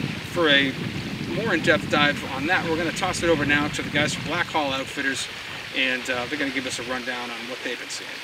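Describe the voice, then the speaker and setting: A man, hosting a fishing forecast outdoors by a harbor in a relaxed, conversational way. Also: on the high side. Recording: distant mic with loud outdoor noise.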